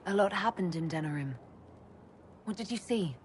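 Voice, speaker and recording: A woman's voice: mid-range voice, expressive, moderate pace, slight background noise, lightly acted. A woman playing a composed, diplomatic leader in a video game, articulate and reasonable. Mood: reflective. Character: composed female leader mage, diplomatic, steady.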